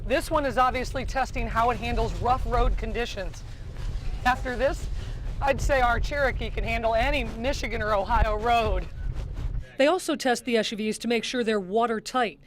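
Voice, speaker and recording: A woman's voice: on the high side, normal speed, slight background noise. A middle-aged woman, a TV news reporter, delivering scripted news narration.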